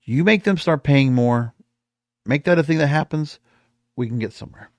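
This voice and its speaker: A man's voice: a little high, moderate pace, a little mellow, no room sound. American man giving emphatic solo commentary, dry sound. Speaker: podcast host. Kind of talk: opinionated solo commentary on the music industry.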